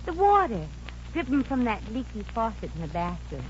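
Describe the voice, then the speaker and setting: A high, swooping voice as a brash American hotel chambermaid in a vintage radio comedy. She pesters breezily in slangy chatter. The old recording is very noisy. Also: big pitch swings, normal speed, highs cut off. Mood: nosy, helpful.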